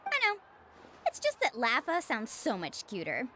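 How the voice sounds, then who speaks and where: An actress playing an upbeat, headstrong young tour guide in a video game, breezy and assured. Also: female voice, fairly high pitch, huge pitch swings, normal speed, a bit bright, a little hiss or hum.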